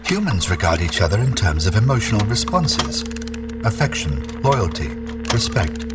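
Deep-voiced, emotionless android companion. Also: male voice, sing-song, over loud music. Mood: detached, wistful. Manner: measured, formal, emotionless. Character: detached, melancholy shape-shifting android companion. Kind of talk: scripted audio drama acting.

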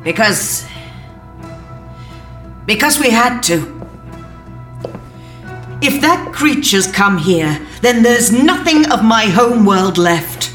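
Doom-laden alien captive, weary and scornful, unhurried, echoey room. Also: female voice, fairly high pitch, animated, a bit bright, soft background music. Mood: grim warning. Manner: grave, foreboding, scornful. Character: ominous, weary alien woman held captive. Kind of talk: audio drama acting.

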